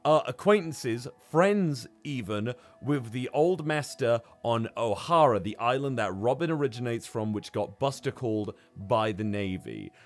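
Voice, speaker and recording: A man chats as a podcast co-host in a mid-pitched voice, with faint music underneath. He recaps things in a loose, rambling, hesitant way and swears freely. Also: animated, a little slow, few pauses. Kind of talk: casual manga recap discussion.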